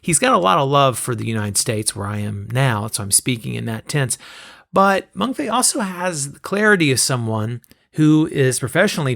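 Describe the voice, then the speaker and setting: A man hosting a podcast, speaking in a hoarse, raspy voice in a measured, reflective introduction. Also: mid-range voice, a bit bright. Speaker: podcast host.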